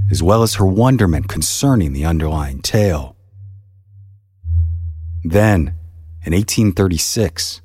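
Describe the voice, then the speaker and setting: Male narrator for history storytelling: very low, husky voice, measured and solemn. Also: a little mellow, no room sound, clean studio sound. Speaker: narrator, podcast host.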